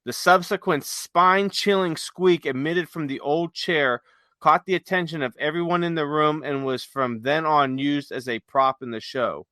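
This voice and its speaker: A man's voice: a little high, normal speed, bright, forceful tone, no echo. A man co-hosting a podcast in casual, enthusiastic, rambling explanations, sometimes reading from notes. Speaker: podcast co-host. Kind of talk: casual chat with some read-aloud notes.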